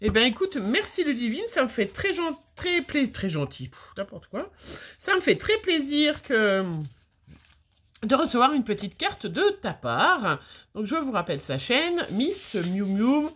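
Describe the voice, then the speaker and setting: Middle-aged french woman a bit stuffy from a cold, rambly and chatty, tons of ums, pitched a touch high. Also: very animated, measured pace, a bit bright, breathy edge. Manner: chatty, lots of fillers and restarts, rambling. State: slightly congested cold. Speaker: scrapbooking vlogger. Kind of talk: casual chat, opening mail on camera.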